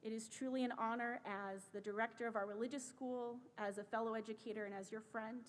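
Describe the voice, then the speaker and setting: A woman's voice: fairly even intonation, brisk. A woman, a religious school director, presenting an award in a heartfelt, formal way. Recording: echoey hall with low rumble.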